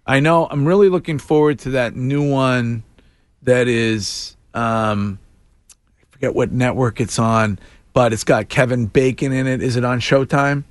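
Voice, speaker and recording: A man's voice: mid-range voice, steady tone, unhurried, no room sound. Morning radio host, man in his fifties, curious and chatty, dry studio mic. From Boston area. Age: 50s. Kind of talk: casual radio chat.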